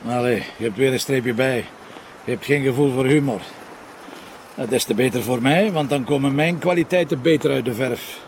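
An actor with a Flemish accent playing a cocky, sardonic middle-aged police commissioner in a TV crime drama, speaking colloquially with a gravelly voice. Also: male voice, medium pitch, moderate pace, a little hiss or hum, close to an everyday voice. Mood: teasing. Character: cocky, hard-drinking, teasing male police commissioner. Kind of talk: naturalistic TV crime drama dialogue.

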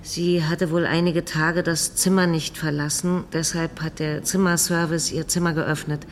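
A woman's voice: deep voice, level tone, moderate pace, a little hiss or hum. An actress playing a clinical, protective and firm female hospital doctor in a radio drama.

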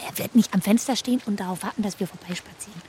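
A woman's voice: mid-range voice, rapid-fire, mellow, slight background noise, lightly acted. An actress playing a flippant, scheming, greedy younger woman in a German radio crime drama, teasing and goading as she talks. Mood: dreamy, eager.

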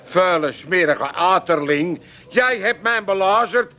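A man's voice: high voice, animated, pushed and bright, exaggerated voice. A blustering old Amsterdam father. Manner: stammering, indignant, quick to bluster. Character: excitable older Amsterdam father, blustering and flustered. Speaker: actor in radio drama. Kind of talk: 1980s radio comedy acting.

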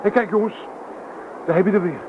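A young man playing a cheeky, excitable holidaymaker among his mates in a Dutch radio drama. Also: sits high, fairly quick, close to an everyday voice. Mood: excited. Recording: tinny, noisy old radio recording.

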